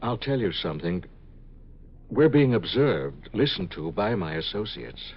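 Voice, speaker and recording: A man's voice: fairly low pitch, big pitch swings, measured pace, slightly rough, full character voice. A man acting an earnest, lovestruck scientist of a future century in 1950s radio drama style, ardent and persuasive. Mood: grave, ardent. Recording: dull 1950s radio recording.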